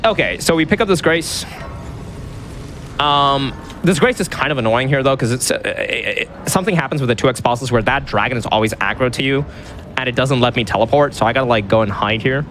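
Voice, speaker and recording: A man's voice: medium pitch, a little fast, a little hiss or hum. A man in his twenties, a speedrunner, chatting casually and joking at himself while he plays. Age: 20s. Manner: lively, chatty, self-mocking. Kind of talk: casual commentary while playing.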